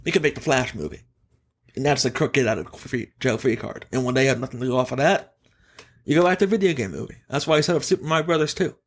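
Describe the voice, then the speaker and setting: A man hosting a casual gaming podcast, giving opinions emphatically in a halting, self-correcting way. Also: mid-range voice. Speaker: podcast host.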